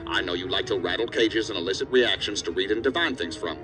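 An actor playing an angry, stern assistant director in an audio drama, scolding and swearing. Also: male voice, sits low, fast, over music, natural voice. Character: angry, hard-bitten male assistant director of investigative agency.

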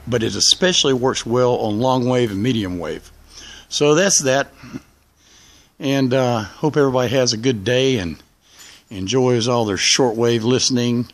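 A man's voice: mid-range voice, measured pace. A man giving an informal, rambling vlog narration outdoors, speaking from behind a handheld camera. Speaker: hobby radio video maker. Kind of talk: casual vlog narration while filming. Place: outdoors in a backyard.

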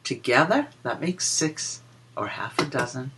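A woman reading a picture book aloud to young children in a sing-song, deliberate way, explaining and counting as she goes. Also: extremely low pitch, sing-song, background noise. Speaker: children's story reader and teacher.

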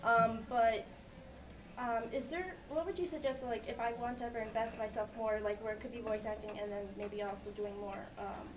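A woman's voice: on the high side, steady tone, moderate pace. A woman in an audience asking a casual question in a tentative, apologetic way. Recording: distant camera mic, room noise.

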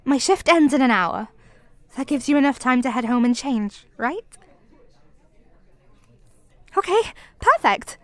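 A woman's voice: high voice, lively intonation, unhurried, long pauses, fairly bright tone, no room sound. A woman playing a clumsy, flustered young barista who stammers and apologizes in an audio roleplay. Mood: shy, hopeful. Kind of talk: ASMR roleplay acting.

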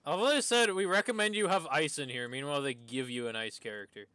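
A man's voice: a little high, fast, pushed and bright, no echo. A man streaming a video game with casual, laid-back, rambling commentary.

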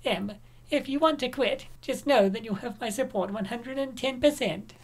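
A woman voicing a brisk, coaxing young woman who eggs on her best friend in a comic dub. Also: a little high, a little mellow.

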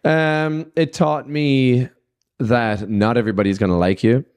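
A man's voice: medium pitch, unhurried, clear, resonant tone. A young man, a musician guest, talking casually in an interview into a handheld mic in a festival backstage lounge, loose and rambling with lots of fillers. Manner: relaxed, rambling, many "like" and "you know" fillers.

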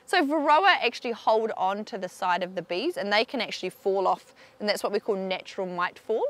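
A young woman giving a clear, explanatory beekeeping demonstration outdoors beside hives on a lapel mic. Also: mid-range voice, lively intonation, a little fast, a bit bright. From New Zealand. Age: young adult. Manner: clear explanatory, pointing things out. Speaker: beekeeping researcher. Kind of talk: instructional demonstration.

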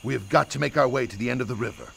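Stern, gravelly old kung fu master. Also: male voice, medium pitch, very fast, a bit bright, a little hiss or hum. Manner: clipped, commanding, dry. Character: stern old martial arts master, small, exacting, dry. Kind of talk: animated game dialogue.

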